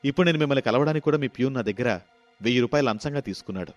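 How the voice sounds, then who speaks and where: A man's voice: medium pitch, expressive, rapid-fire, no echo, faint music behind, close to an everyday voice. A man voicing a caring, dutiful grown son with an earnest, pleading manner in a moral story. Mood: indignant.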